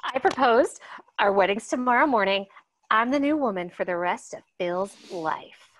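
A woman's voice: a little high, expressive, normal speed, no echo, natural voice. A woman reading the part of a blunt, scheming ex-convict at a table read.

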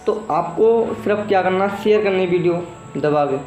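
Young male vlogger, high voice, pleading and repetitive, echoey phone recording with music underneath. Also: moderate pace. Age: young adult. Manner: repetitive, pleading appeals to viewers. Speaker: reaction video host. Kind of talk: casual vlog commentary to camera. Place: small indoor room. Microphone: phone at arm's length. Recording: echoey room with loud music behind.

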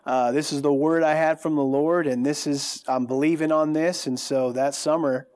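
Even-toned American man telling his testimony. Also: on the high side, normal speed. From California, United States. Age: 30s. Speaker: worship leader, programme guest. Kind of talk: telling a personal testimony in a TV interview. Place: TV studio, seated on a sofa. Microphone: lapel mic.

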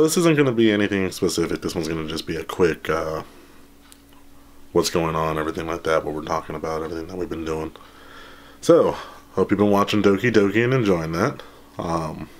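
A man in his thirties, slightly hoarse after being sick, chatting casually and rambling with lots of ums and laughs, holding a camera at arm's length in a small room. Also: low voice, moderate pace, pauses often. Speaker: channel host. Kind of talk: casual update vlog. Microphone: handheld camera at arm's length.

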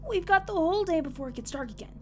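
A woman's voice: very high voice, lively intonation, quick pace, soft background music. A woman voicing a tough, protective, weary young female cartoon pony with a brash, blunt manner in a horror story. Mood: steady, encouraging.

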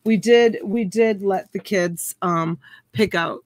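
A woman's voice: mid-range voice, dry recording. Chatty woman hosting a live auction. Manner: chatty, folksy, slowing down to draw out bids. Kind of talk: casual live-stream auction chat. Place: home room full of plush toys and a dress form. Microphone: webcam on a computer.

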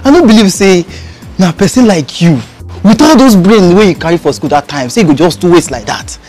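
Blunt Nigerian man, played by an actor, scolding an old friend in Pidgin; very lively pitch, faint music behind. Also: fairly quick. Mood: teasing. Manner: animated, badgering, repetitive. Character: blunt, exasperated male friend scolding his old pal. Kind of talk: Nigerian Pidgin film dialogue.

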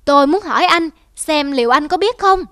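A woman's voice: high-pitched, expressive, a little fast, no echo, studio quality. A woman voicing a clever parrot in a cartoon who politely outwits a bully. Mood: sly. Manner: polite, sly, coaxing. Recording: voice over loud music.

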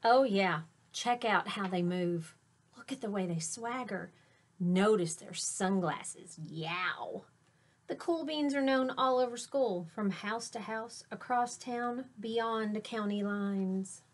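A middle-aged woman reading a picture book aloud like a teacher, dramatically, drawing out words for effect. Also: medium pitch. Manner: dramatic, with drawn-out words and emphatic exclamations. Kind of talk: expressive children's read-aloud. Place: small indoor space with fabric backdrop.

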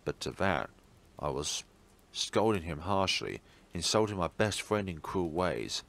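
A man with a fairly low voice narrates a horror visual novel for a let's play. His delivery is dramatic, with pitch that moves around a lot. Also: big pitch swings, measured pace, creaky, fairly bright tone. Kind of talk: dramatic narration of game text.

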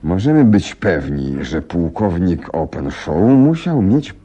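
A deep, dark-voiced detective for radio drama, commanding and deliberate. His intonation swings widely as he instructs and thinks aloud, often doubling his phrases. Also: sing-song, breathy edge, muffled recording, full character voice. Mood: pondering. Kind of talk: Polish radio drama acting.

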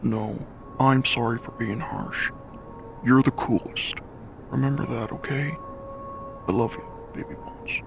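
A man voicing a laid-back, protective eighteen-year-old skeleton big brother in a comic dub, with a gravelly voice and casual drawl. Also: mid-range voice, a little slow, long pauses, over music. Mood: tender, apologetic. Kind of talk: dramatic comic dub acting.

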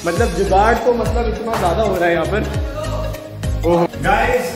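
A young man with a fairly high voice talks playfully to his viewers, calling them guys. His voice rings around a roomy, echoey space, and loud music plays behind him. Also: normal speed. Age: young adult. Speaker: vlogger. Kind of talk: casual vlog narration. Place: hostel room.

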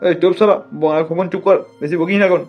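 Male actor as brash village boss, highish voice, quick, blustering, colloquial, comic cartoon. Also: fairly even intonation, dull audio, soft background music. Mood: scolding. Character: brash, foul-mouthed village gang leader, comic elder brother.

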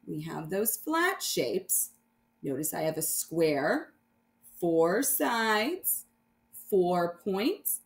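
A woman's voice: lowish voice, creaky. A woman teacher reading a picture book aloud and explaining it simply, step by step, for children. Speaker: primary math teacher.

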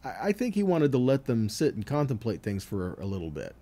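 Guy doing a casual bible teaching, soft mellow tone, pitch moves around a lot, asks questions as he goes. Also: wildly swooping pitch, a little fast. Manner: conversational, checks in with rhetorical questions and "right?". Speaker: Bible teacher giving a weekly scripture teaching. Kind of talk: informal teaching sermon with scripture readings.

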